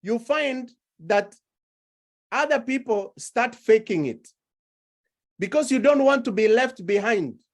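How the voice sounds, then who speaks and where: A woman delivers a sermon at a slow, measured pace. Her voice sits a little high, and she stacks repeated phrases to build each point. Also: expressive. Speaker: preacher.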